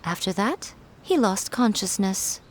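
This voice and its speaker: A woman's voice: medium pitch, lively intonation, unhurried, a little hiss or hum, lightly acted. A woman playing a sly, teasing fox shrine maiden, elegant and self-assured, in a video game. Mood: matter-of-fact. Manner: teasing, composed, amused.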